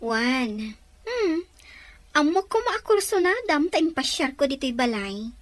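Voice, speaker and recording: A woman playing a lively, scheming younger sister in an Ilocano audio drama, playful, with an Ilocano accent. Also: high-pitched, measured pace, no echo.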